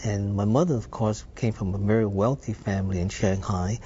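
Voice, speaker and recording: An older man from Hawaii recalls his childhood in an unhurried, reflective voice. His tone is low and mellow. The audio is dull and lo-fi, with faint noise in the background. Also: fairly even intonation. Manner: unhurried, thoughtful storytelling with filler words. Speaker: interview guest. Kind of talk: reminiscing interview.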